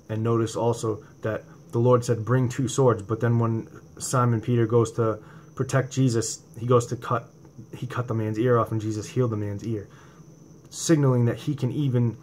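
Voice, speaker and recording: A man in his forties with a New England accent, preaching informally to his viewers from home and talking into a webcam, swinging from impassioned, rising emphasis to a quieter conversational tone. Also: lowish voice, a little hiss or hum. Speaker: religious video host. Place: home room indoors at night. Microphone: webcam or laptop camera from below.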